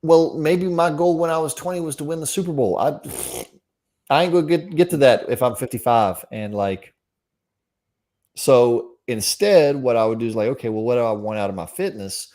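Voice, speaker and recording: A man in his forties co-hosting a podcast in casual conversation about his field, halting as he thinks aloud. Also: medium pitch, expressive, darkish tone. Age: 40s. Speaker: podcast co-host. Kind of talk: casual fitness podcast discussion.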